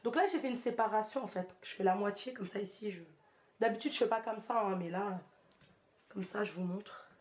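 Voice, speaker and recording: A woman's voice: mid-range voice, brisk. A woman with a stuffy head cold, giving a chatty, slangy hair tutorial to her viewers while she demonstrates. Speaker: beauty vlogger. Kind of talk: casual hair tutorial chat.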